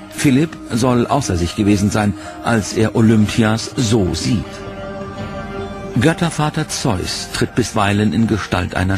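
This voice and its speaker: A man narrating a history documentary in a measured, dramatic scripted style. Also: lowish voice. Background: music.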